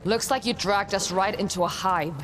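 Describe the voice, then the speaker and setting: A woman's voice: mid-range voice, normal speed, a bit bright, slight background noise, natural voice. Curt, guarded young warrior woman played by an actress. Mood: dry, wary. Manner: curt, dry, no-nonsense. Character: guarded, tough young female warrior, blunt and impatient. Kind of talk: cinematic video game acting. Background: background music.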